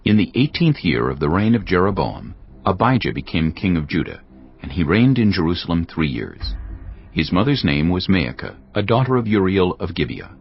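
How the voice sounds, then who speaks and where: A man narrating scripture in a measured storytelling style for a dramatized audio Bible. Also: low-pitched, sing-song, vocal fry, breathy edge, highs cut off.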